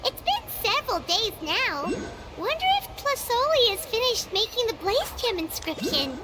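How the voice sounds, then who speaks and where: A woman voicing a small, perky, excitable fairy companion with a squeaky childlike voice in a video game. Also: moderate pace, background noise. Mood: musing. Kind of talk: animated video game voice acting.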